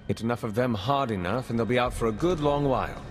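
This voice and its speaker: An actor playing an earnest, guarded intelligence agent in a video game, grave and intent. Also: male voice, mid-range voice, fast, a little hiss or hum, lightly acted. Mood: thoughtful. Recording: long hall reverb, music behind.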